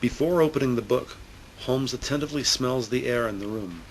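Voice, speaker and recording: A man's voice: medium pitch, fairly quick, a little hiss or hum, poor audio. A man narrating an audio drama mystery in a dramatic, scripted style.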